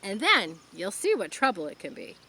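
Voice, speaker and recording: A woman voicing a farmer father who speaks gently but firmly to his daughter. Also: medium pitch, huge pitch swings, moderate pace, gravelly, husky, full character voice, poor audio.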